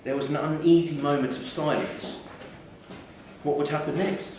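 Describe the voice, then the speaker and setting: A man's voice: on the high side, fairly even intonation, moderate pace, a little mellow. Echoey man reading a story. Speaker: short story reader. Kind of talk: live scripted story reading, narration. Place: theatre, live reading. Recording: echoey theatre hall, distant mic, faint noise.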